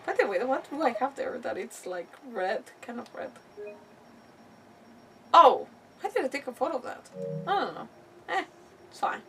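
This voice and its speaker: A woman live streaming a game with giggly, excitable, chatty casual reactions. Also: high voice, normal speed, pauses often, far from the mic, a little hiss or hum, low-quality recording.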